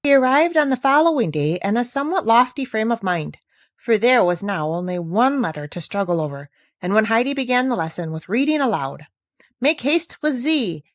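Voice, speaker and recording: A woman's voice: mid-range voice, expressive, fairly quick, no room sound. Woman narrating a children's classic, dry sound. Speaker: storybook narrator.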